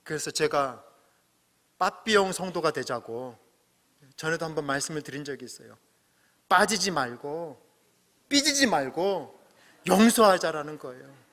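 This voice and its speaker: Male pastor, middle-aged, bright tone, church hall reverb; earnest, swings between emphatic peaks and soft asides. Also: on the high side, expressive, a little slow, long pauses. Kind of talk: sermon. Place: church sanctuary.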